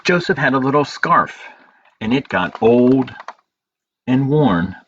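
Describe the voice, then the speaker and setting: A man hosting a storytime as a librarian, reading picture books aloud in measured phrases with pauses and informal asides. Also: medium pitch, lively intonation, fairly bright tone. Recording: dull lo-fi audio with clear background noise.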